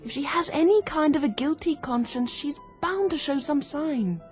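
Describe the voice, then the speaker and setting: High-voiced keen young woman sleuth. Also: animated, normal speed, a little mellow, faint music behind. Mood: excited, intent. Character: keen, quick-witted young woman turned amateur sleuth. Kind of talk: radio drama acting.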